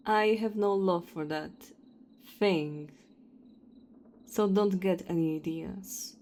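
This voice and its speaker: A woman acting in an audio roleplay as a stern, menacing vampire hunter, speaking in a cold, deliberate, threatening way. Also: fairly low pitch, darkish tone. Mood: cold, disdainful.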